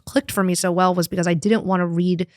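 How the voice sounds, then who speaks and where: A young woman with a New York accent talks in a low, deep voice, candid and quick with self-deprecating asides. The sound is dry and close, with no room around her. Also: flat delivery, darkish tone, dry recording. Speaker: stand-up comedian guest. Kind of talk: casual podcast chat.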